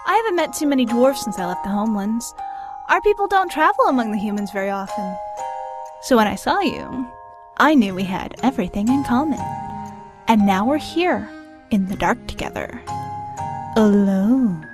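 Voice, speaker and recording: A woman's voice: a little high, lively intonation, normal speed, over music, natural voice. A woman voicing a coy, flirtatious, lonely female dwarf in a comic cartoon fandub. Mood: wistful, flirtatious. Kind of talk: animated comic fandub acting.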